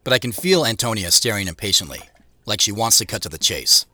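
A man's voice: fairly low pitch, expressive, quick pace, sharp tone, exaggerated voice. An actor playing an introspective man in his late thirties, narrating his own story in an audio drama. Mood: reflective. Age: late 30s. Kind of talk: scripted first-person drama narration.

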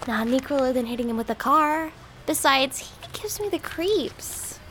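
A woman's voice: sits high, lively intonation, normal speed, very noisy. An actress playing a sarcastic, squeamish young woman who snaps and panics. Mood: snippy. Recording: audio drama with music beneath.

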